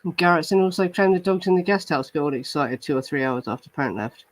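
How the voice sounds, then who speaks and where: A man's voice: a little high, animated, quick pace. A man hosting a true crime show, chatting casually with many fillers and restarts, and now and then reading report passages aloud. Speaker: true crime podcast host. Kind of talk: casual chat reacting to live comments.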